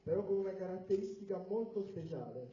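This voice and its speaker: Church interpreter with a dark voice. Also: male voice, high voice, lively intonation, brisk. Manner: translates phrase by phrase, with occasional restarts and hesitations. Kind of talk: consecutive interpreting of a sermon. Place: church hall. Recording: roomy, distant, dull church recording.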